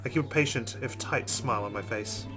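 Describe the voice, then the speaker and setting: A man's voice: medium pitch, brisk, over music. A man playing a visual novel, reading its first-person narration dramatically with reactions.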